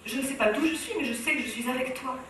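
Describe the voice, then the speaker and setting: A woman's voice: high voice, fast, fairly bright tone, slight background noise. A Moroccan woman on stage delivering a rhythmic, impassioned spoken-word slam in a large hall. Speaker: speaker. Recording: very echoey hall, from far off.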